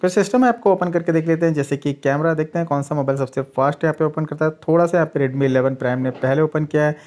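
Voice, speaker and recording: A man's voice: on the high side, quick pace, a little mellow. A man, the host of a tech channel, steadily narrating a side-by-side phone speed test and addressing viewers as friends.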